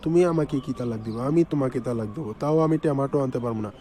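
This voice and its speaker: Man playing a mellow-voiced, grumbling Bangladeshi husband. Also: mid-range voice, rapid-fire, close to an everyday voice. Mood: exasperated, defiant. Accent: Bangladeshi Bengali. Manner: complaining, exasperated. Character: grumbling, put-upon, stingy middle-aged village husband. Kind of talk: comic cartoon dialogue.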